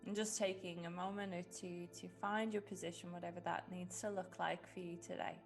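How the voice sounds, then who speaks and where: A woman leading a guided meditation in a gentle, unhurried way with long pauses. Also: on the low side, flat delivery. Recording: music behind the voice. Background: background music.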